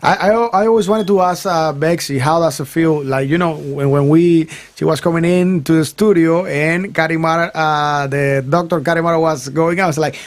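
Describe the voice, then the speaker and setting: A man's voice: a little high, normal speed, few pauses. A man hosting a radio morning show, interviewing guests with enthusiasm and many restarts. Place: radio studio.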